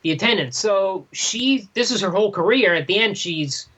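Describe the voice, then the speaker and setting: Male co-host, high-pitched voice, questioning think-aloud conversational style, on a slightly muffled lo-fi line. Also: expressive, moderate pace, fairly bright tone. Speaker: podcast co-host. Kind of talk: casual discussion of a graphic novel.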